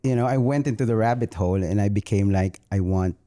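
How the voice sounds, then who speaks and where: A man's voice: lowish voice, fast, warm tone. A man with a Filipino accent chatting casually as a guest host on a podcast. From Philippines.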